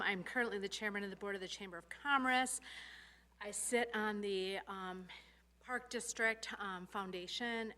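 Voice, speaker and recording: A woman's voice: mid-range voice, bright, forceful tone. A woman, a long-serving commissioner, introducing herself at a meeting with wry self-deprecation. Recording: clearly noisy room with hum.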